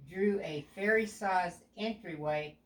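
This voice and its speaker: Slow-paced older woman reading a storybook. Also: lowish voice. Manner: deliberate, explaining, with stumbles over words. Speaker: grandmother reading a picture book to a child. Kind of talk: read-aloud storytelling, pausing for asides to a child. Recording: echoey room with background noise.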